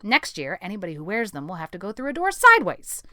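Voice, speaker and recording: A woman's voice: mid-range voice, huge pitch swings, quick pace, pushed and bright, faint music behind, exaggerated voice. A woman playing a stern, thrifty middle-aged spinster who speaks in a tart, disapproving way. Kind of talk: audiobook character acting.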